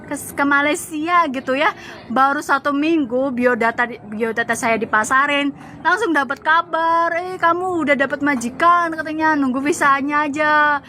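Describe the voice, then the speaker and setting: High-voiced woman telling stories, laughing often. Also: moderate pace, slight background noise. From Java, Indonesia. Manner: lively storytelling, laughs often. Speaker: former migrant domestic worker. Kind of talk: casual chat.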